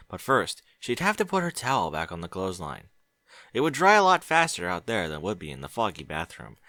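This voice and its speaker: Bright-voiced man reading a story. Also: lowish voice, dry recording, clean studio sound. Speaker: narrator. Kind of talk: audiobook reading of fan fiction.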